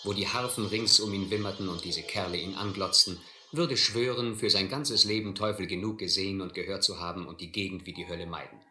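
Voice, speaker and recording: Low-voiced, sharp-edged shrewd seafarer. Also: male voice, steady tone, brisk, hardly any pauses, soft background music. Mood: reflective, suspenseful. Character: shrewd, adventurous seafaring man, quietly probing. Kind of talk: radio drama acting, first-person narration and dialogue. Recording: roomy, slightly noisy old radio-play recording.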